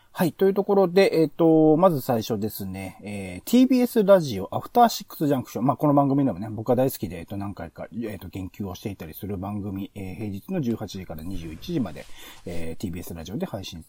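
Lively, mellow-voiced man hosting a podcast. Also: mid-range voice, no room sound. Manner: halting, thinking aloud with drawn-out fillers. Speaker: podcast host. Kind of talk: casual podcast talk reviewing radio shows.

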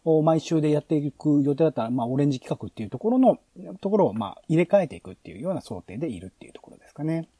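A man with a fairly high, lively voice hosts a podcast planning discussion. His tone is soft-edged and a little husky, and he explains things haltingly, circling through asides as he goes. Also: no echo. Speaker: podcast host.

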